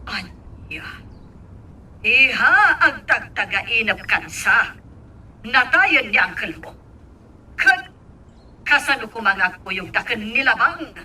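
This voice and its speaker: A woman's voice: a little high, lively intonation, slow, pauses often, bright, forceful tone, slightly rough, full character voice. An actress playing a dramatic, disbelieving middle-aged mother in a radio drama. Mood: shocked, disbelieving. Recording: faint noise, distant microphone.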